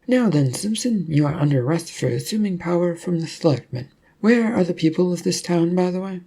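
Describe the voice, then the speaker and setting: A man voicing a firm, raised-pitch lady officer. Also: lively intonation, brisk, mellow, slightly rough, heavily acted. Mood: commanding. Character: brisk, authoritative female officer making an arrest.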